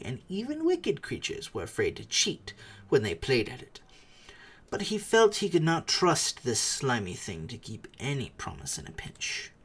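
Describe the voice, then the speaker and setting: A man narrating a storybook dramatically, moving theatrically between hushed and emphatic delivery. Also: medium pitch, husky. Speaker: audiobook narrator.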